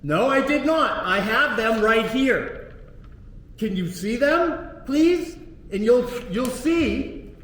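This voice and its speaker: Defendant type, a very high-pitched man, defiant and foul-mouthed, shouting in a courtroom with a long, boomy reverb. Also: expressive, slow. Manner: angry, profane, shouting. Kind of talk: courtroom outburst.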